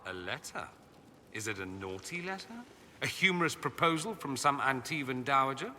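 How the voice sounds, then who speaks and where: A man's voice: mid-range voice, lively intonation, normal speed, pushed and bright, slight background noise. A sardonic nobleman mage from a fantasy game. His voice is sharply forward and swoops theatrically through ornate phrases. Long reverb trails behind him. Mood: playful. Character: witty, haughty aristocratic mage, sardonic and theatrical. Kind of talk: video game dialogue.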